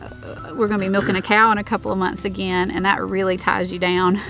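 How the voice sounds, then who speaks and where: A woman's voice: medium pitch, level tone, brisk, fairly bright tone, faint music behind, rough recording. A woman in her thirties talking casually outdoors on her homestead, with many fillers and restarts. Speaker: homestead vlogger. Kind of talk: casual chat.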